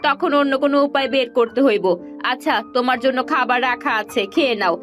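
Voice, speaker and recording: A woman voicing the woodcutter's practical, caring young wife, who speaks in a lively, questioning way. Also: high-pitched, quick pace, soft background music, lightly acted. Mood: homely.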